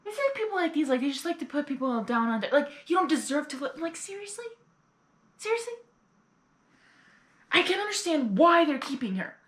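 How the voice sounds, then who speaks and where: High-voiced woman reacting in an echoey room. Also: big pitch swings, brisk, long pauses. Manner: exclamatory, indignant asides. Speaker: reaction video host. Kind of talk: casual live reaction commentary.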